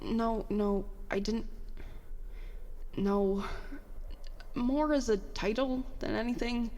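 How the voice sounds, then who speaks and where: A woman playing a stressed, guarded young petty officer in a naturalistic audio drama, halting and rambling with self-deprecating words. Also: fairly high pitch, warm tone, in a large hall. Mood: wounded, confiding. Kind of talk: audio drama acting, naturalistic confessional dialogue.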